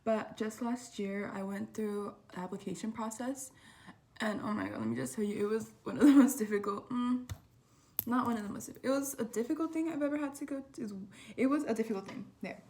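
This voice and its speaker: A woman's voice: a little high, brisk, darkish tone. A young woman from Southern California whose first language is Spanish, chatting casually in a vlog with lots of fillers and self-corrections, in a dorm room. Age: young adult. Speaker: vlogger. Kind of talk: casual chat.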